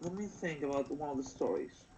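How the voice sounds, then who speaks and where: A man's voice: on the high side, very animated, fairly quick, warm tone, a little hiss or hum. A man from Chile with a Chilean accent and a nasal voice, chatting casually on a live stream and rambling as he loses his thread. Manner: rambling, trails off and restarts. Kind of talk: casual chat. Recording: dull lo-fi sound.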